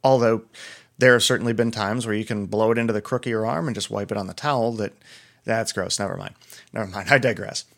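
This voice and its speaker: A man's voice: on the low side, fast, gravelly, a bit bright. A middle-aged man, a doctor, hosting a casual solo podcast, chatty and prone to digressions. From Pennsylvania. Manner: chatty, digressive, self-deprecating.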